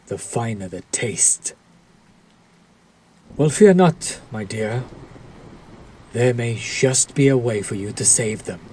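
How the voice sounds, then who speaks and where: A male actor as a possessive vampire lord in an intimate roleplay drama. His voice is dark and breathy, and he draws out his words with slow, silky courtesy. Every phrase is theatrical, condescending and faintly predatory. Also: medium pitch. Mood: menacing, amused. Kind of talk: dramatic roleplay audio addressed to the listener.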